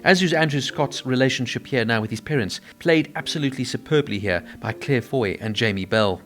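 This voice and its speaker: Man, film review narration, measured delivery, average pitch, faint background music. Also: fairly bright tone. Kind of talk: scripted narration.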